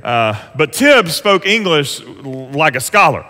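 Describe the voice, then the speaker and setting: Bright-voiced pastor preaching in a hall. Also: male voice, fairly high pitch, sing-song, a little slow. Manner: earnest, conversational preaching with rising emphasis on scripture. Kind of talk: sermon. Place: church sanctuary stage. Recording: long church hall reverb.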